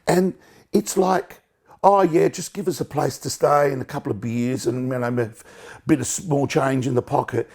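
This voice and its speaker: A man's voice: medium pitch, normal speed, darkish tone, breathy edge. A man telling stories animatedly in an interview.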